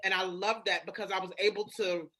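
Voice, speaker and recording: A woman in candid, casual podcast conversation, frank and expressive, acting out her own thoughts. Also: mid-range voice, a little fast.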